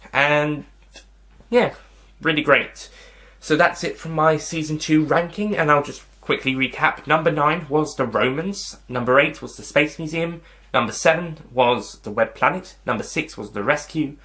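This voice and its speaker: Guy doing a casual youtube style ranking, bright voice, kinda rambly, keeps rewording stuff. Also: medium pitch. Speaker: host of a video review channel. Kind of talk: casual spoken ranking and review to viewers.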